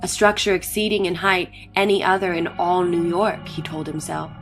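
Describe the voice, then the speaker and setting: A woman's voice: lowish voice, little pitch movement, moderate pace, soft background music, rough recording. A woman narrating an early science fiction story as a scripted dramatic reading.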